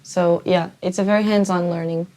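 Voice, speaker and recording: A young woman from Portugal, a student, talking in a relaxed, chatty way with many fillers. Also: fairly low pitch, brisk, dry recording. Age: young adult. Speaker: student guest. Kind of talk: casual chat.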